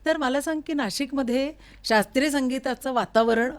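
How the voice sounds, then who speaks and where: A woman's voice: high voice, normal speed. Appreciative woman interviewer. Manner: appreciative, frequent affirming interjections. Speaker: interview host. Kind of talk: conversational interviewing. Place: recording studio.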